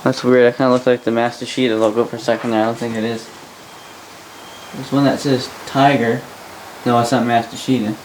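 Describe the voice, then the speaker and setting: A young adult man with a Canadian accent giving a casual, unscripted hobby demonstration in a halting, rambling way, often repeating words. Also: medium pitch, rough recording. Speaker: vintage radio hobbyist and host. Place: indoor workbench in a room. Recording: home video with faint background noise.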